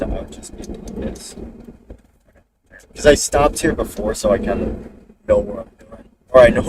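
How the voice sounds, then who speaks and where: A man in a car talks through his drive for a livestream, rambling and muttering about the route and traffic. His voice swings up and down a lot, and he sounds a little far from the mic. Steady road noise runs under him. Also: lowish voice. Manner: rambling, talks to himself while driving. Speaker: storm chaser streaming live. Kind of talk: casual driving commentary.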